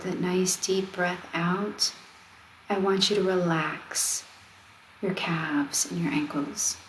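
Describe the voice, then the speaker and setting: A woman leading a guided meditation, speaking in a gentle, soothing, conversational way as she gives simple instructions. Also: mid-range voice, rough recording. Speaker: meditation guide, wellness coach. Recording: steady noise of ocean waves. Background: ocean wave sounds.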